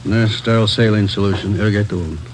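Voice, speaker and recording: An actor playing a brisk, firm radio doctor. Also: male voice, on the low side, fairly even intonation, slight background noise, natural voice, poor audio. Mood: clinical. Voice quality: crisp, clinical delivery. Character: brisk male hospital doctor, firm and businesslike. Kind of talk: 1950s radio drama acting.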